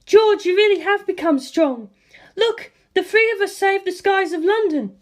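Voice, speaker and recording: A man's voice: very high-pitched, normal speed, voice pushed up. A man voicing a bold, exuberant young woman warrior in a story, with a gushing, emphatic manner. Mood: thrilled.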